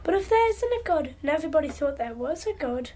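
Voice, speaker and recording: A woman's voice: high voice, sing-song, fast talker, mellow. A woman playing an earnest, inquisitive little Geordie boy in a radio drama, speaking in a naive, wide-eyed way. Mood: curious, insistent. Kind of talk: radio drama monologue and dialogue.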